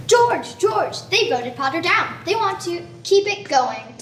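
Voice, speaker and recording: A brisk office worker, acted by a young woman in a radio play. Her voice is high, heard in a roomy, echoing space with faint noise. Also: very animated, heavily acted. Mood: excited. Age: young adult. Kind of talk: amateur radio play acting. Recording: echoey room, distant mic, some noise.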